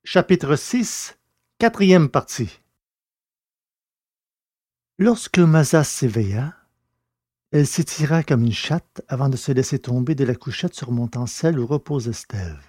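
A man narrating a scripted adventure story in a measured, literary way. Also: mid-range voice, animated, mellow, no echo, clean studio sound.

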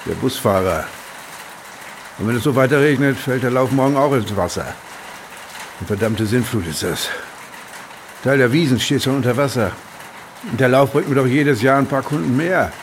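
Male actor as teasing village shopkeeper, average pitch, familiar chatty tone, radio drama, slight ambient noise. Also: moderate pace, pauses often, natural voice. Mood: jovial, teasing. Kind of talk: naturalistic radio drama dialogue.

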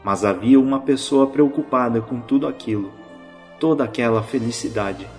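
A man's voice: medium pitch, normal speed, a little mellow, faint music behind, close to an everyday voice. A man acting as the narrator of a whimsical audio drama, telling it as scripted storytelling. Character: storybook narrator setting a whimsical Christmas tale.

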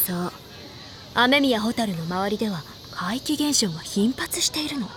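A woman's voice: on the high side, expressive, measured pace, a bit bright, a little hiss or hum. An actress playing a smug teenage girl who teases the others in a knowing tone. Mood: teasing. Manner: teasing, smug. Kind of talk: drama CD acting. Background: music.

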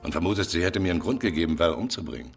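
Bitter jealous tough guy, very deep voice, fast delivery. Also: sing-song, creaky, exaggerated voice. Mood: suspicious. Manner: suspicious, probing, bitter. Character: jealous, suspicious hot-tempered man. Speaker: Johnny. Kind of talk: radio play acting.

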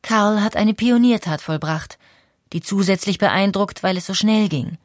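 A woman's voice: medium pitch, measured pace, dry recording, clean studio sound. A woman reading scripted documentary narration as the narrator. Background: music.

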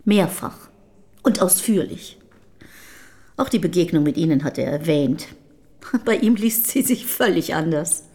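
An actress playing a curt, possessive older wife of a famous poet in a German radio drama, speaking in a clipped, dry, formal way. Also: female voice, on the low side, animated, unhurried, long pauses, darkish tone. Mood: cool, dry.

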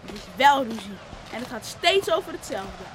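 Eager high-voiced child, noisy recording. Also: female voice, very animated, moderate pace. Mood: grumbling. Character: eager, stubborn child of eleven on a fishing island. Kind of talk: Dutch radio play acting. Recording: noisy old radio play recording.

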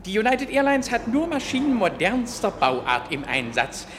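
An actor playing a pompous, insistent airline director, formal and officious, in a vintage German radio crime play. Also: male voice, high voice, sing-song, normal speed. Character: self-important, officious male airline director. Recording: long hall reverb, faint background noise.

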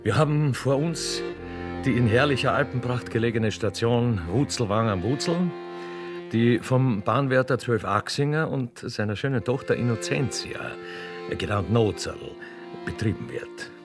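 A man's voice: mid-range voice, expressive, measured pace, over music. A man narrating a radio play in a theatrical, mock-solemn and ceremonious way.

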